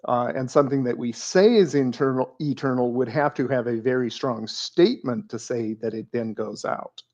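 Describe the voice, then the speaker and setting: A man's voice: mid-range voice, moderate pace, a little mellow, dull audio. A man co-hosting a casual theology discussion, explaining points carefully with frequent fillers and repeated words. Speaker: podcast co-host.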